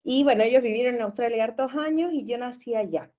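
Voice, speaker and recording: A Chilean woman in her forties, a therapist talking casually as a guest, cheerful and quick to laugh, with frequent fillers. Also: fairly high pitch, dark tone, muffled recording. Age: 40s. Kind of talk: casual conversation. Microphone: video call.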